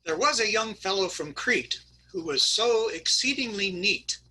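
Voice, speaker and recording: A man reads jokes in a high, very bright voice. There is a husky, breathy edge to his voice, and it comes through a video call. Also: animated, measured pace, poor audio. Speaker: community theater reader. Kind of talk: reading jokes aloud.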